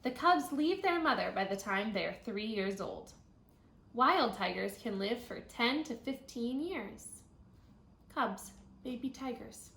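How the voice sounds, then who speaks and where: High-voiced woman teacher reading aloud, echoey room. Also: lively intonation, a little slow, vocal fry, a bit bright. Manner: instructional, thinking aloud, prompting young listeners with questions. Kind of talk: read-aloud of a children's nonfiction book with teaching asides. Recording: roomy echo, audible background noise.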